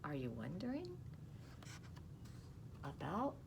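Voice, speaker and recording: A woman in her fifties reads a picture book aloud to children, expressively and unhurriedly, with soft explaining asides. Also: low-pitched, big pitch swings, takes it slowly. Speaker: school storytime reader. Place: small office, indoors. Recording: clearly noisy small-room recording.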